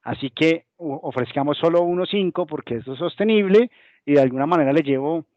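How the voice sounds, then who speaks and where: A man's voice: fairly high pitch, brisk, no echo. A Colombian man gives a business webinar talk in a slightly raised voice. He explains things patiently and now and then acts out what companies might say. The sound is close and dry, with the muffled, lo-fi quality of a video call. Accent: Colombian Spanish. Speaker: guest presenter.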